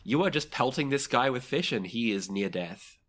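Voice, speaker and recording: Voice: mid-range voice, lively intonation, normal speed, a bit bright, husky. A game master narrating a tabletop role-playing game, describing the action in vivid, dramatic detail.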